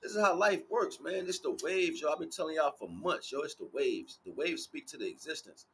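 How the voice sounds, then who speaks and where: A man's voice: a little high, animated, brisk, creaky, fairly bright tone, slight background noise, poor audio. A man giving a rambling motivational spiritual talk in casual, sweary street slang, emphatic and repeating his phrases. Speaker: livestream spiritual teacher.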